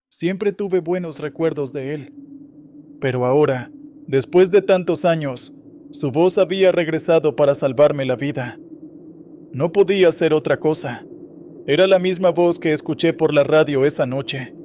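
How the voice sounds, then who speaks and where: A man reading scripted first-person horror stories in a steady, measured way. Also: on the high side, a little hiss or hum. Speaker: horror story narrator.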